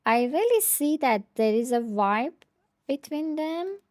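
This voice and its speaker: Slow-talking woman with a higher voice. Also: big pitch swings, no echo, clean studio sound. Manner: hesitant, thinking aloud. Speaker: tarot deck reviewer. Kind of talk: casual unscripted show-and-tell.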